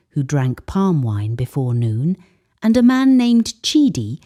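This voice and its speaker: A woman narrating a scripted moral folktale in a solemn, deliberate way with dramatic pauses. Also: fairly low pitch, dark tone. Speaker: storyteller.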